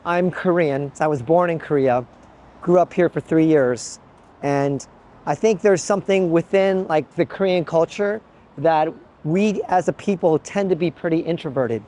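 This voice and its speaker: A man from the American Midwest talking thoughtfully to his handheld camera outdoors, pausing and restarting as he muses. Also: fairly high pitch, warm tone. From Midwest United States. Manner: thoughtful and musing, with frequent pauses and restarts. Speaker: travel vlogger. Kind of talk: reflective vlog monologue to camera. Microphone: handheld pocket gimbal camera.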